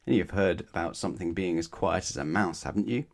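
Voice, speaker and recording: A man's voice: low-pitched, expressive, fairly quick, vocal fry. A man narrating a children's bedtime story expressively, putting questions to his young listeners. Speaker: storybook reader.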